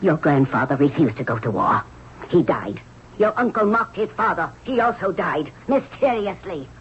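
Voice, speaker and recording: An actress playing a stern, middle-aged queen mother of ancient Egypt in a 1970s radio drama, with an imperious, measured, formal delivery. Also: fairly low pitch, very animated. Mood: menacing. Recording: muffled, noisy old radio recording.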